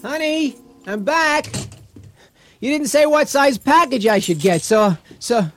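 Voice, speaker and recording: High-voiced, caring husband. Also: male voice, lively intonation, slow pace, soft background music, exaggerated voice. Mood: cheerful. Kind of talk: radio drama acting.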